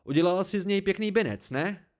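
A man's voice: medium pitch, lightly acted. A man voicing a hot-tempered, hurt and sarcastic young man in an audiobook story, barking cutting retorts. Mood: heated, accusing.

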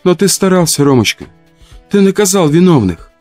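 Man voicing a high-pitched, nervous ghostly woman. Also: big pitch swings, normal speed, dark tone, soft background music. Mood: tender. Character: murdered woman's ghostly avenging voice, nervous and bitter.

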